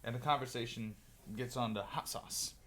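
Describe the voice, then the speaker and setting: A man chatting on a livestream, loud-voiced and animated, reacting to chat and rambling through opinionated stories. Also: medium pitch, normal speed, a little hiss or hum. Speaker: streamer. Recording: music playing clearly behind voice. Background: music.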